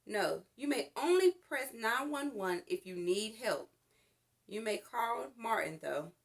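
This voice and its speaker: Woman reading as a calm, firm storybook mother. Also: a little high, slow, gravelly, full character voice. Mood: instructive. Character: patient, firm, caring mother of a little girl. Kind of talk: children's storybook read-aloud.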